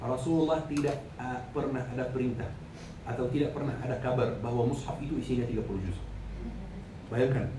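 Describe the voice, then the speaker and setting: A man's voice: mid-range voice, fairly even intonation, brisk. A man with an Arabic accent, a native Arabic speaker, giving an Islamic lecture in a didactic way, repeating phrases and answering his own questions. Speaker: Islamic preacher. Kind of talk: religious lecture, teaching with questions to listeners. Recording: echoey, noisy room, distant microphone.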